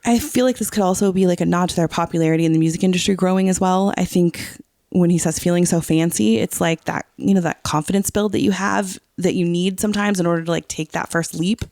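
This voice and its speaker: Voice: mid-range voice, fairly even intonation, fast talker, vocal fry, darkish tone, no echo, clean studio sound. A podcast co-host chatting casually, explaining song lyrics and often checking that the listener follows. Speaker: teacher.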